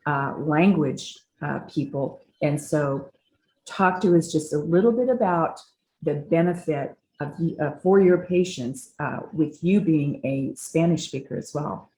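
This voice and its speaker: Woman interviewer, low voice, enthusiastic, echoey room. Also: a little hiss or hum. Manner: enthusiastic, warmly affirming. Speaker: interview host. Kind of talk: interview questions.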